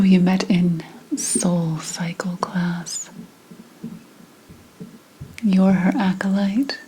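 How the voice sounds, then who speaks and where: A woman playing a wary, sardonic old witch in an ASMR roleplay, speaking in a breathy whisper mixed with soft, deliberate speech. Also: on the low side, full character voice, poor audio. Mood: sardonic, prying. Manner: slow, deliberate, suspicious questioning with dramatic pauses. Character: wary, sardonic old 17th-century witch, potion maker.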